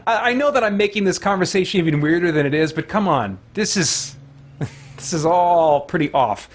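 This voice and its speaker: A man's voice: high voice, expressive, moderate pace. A man voicing a timid, polite young woman from a video game, speaking hesitantly. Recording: music playing behind the voice.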